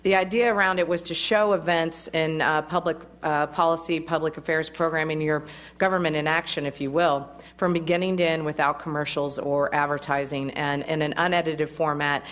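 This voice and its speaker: Low-pitched woman at a council meeting mic, friendly, laughs a lot. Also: steady tone, fairly quick, fairly bright tone. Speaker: network representative. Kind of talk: public remarks at a meeting. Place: council chamber. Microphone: podium microphone.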